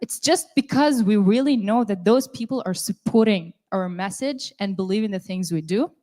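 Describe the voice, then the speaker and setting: A woman's voice: medium pitch, normal speed, warm tone. A woman presenting her activist group to an audience in a direct, plain-spoken way. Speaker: activist speaker.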